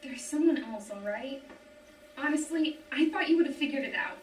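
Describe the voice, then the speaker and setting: A woman acts out an exasperated young ex-girlfriend, blunt and impatient. Her voice is high and swings widely, heard from off the mic in a roomy space. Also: expressive, moderate pace. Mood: frustrated. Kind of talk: script table read acting. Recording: roomy, distant mic, clearly noisy.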